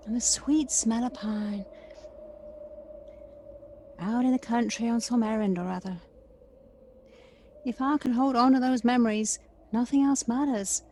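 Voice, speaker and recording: A woman's voice: on the high side, a little mellow, slight background noise, natural voice. An actress playing an emotional grown woman in an audio play, a wistful sister who envies her sibling.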